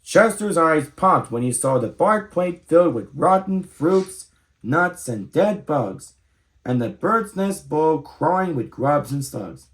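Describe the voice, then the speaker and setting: A man in his thirties reads a children's story aloud. His voice sits slightly high and moves in very lively rises and falls, as an expressive storyteller's does. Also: slow pace, low-quality recording. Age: 30s.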